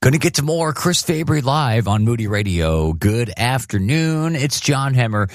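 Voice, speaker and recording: A man's voice: a little high, dry recording, clean studio sound. A man announcing an upbeat, enthusiastic radio promo.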